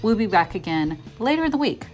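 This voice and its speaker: A woman's voice: mid-range voice, big pitch swings, brisk, soft background music. A woman with an American accent, hosting a sports podcast and talking casually as a reporter. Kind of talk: casual conversational sports talk.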